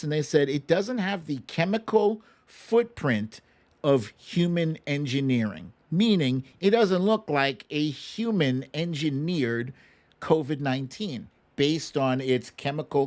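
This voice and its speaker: A man's voice: on the high side, a little mellow. An American man hosting a live online talk show, chatting casually with viewers in an opinionated, emphatic, conversational way. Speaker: host of a livestream talk show.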